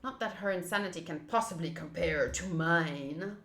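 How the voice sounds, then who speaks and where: A woman's voice: on the low side, normal speed, a bit bright. A woman game streamer reading a visual novel aloud and adding casual commentary as she plays. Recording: roomy echo, faint game music. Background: faint game music.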